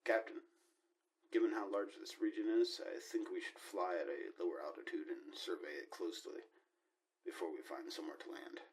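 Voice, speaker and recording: Man playing a very deep-voiced, grave expedition leader. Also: normal speed, close to an everyday voice. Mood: calm, commanding. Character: grave, cautious male leader of a spaceship expedition. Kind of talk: hushed sci-fi audio drama acting.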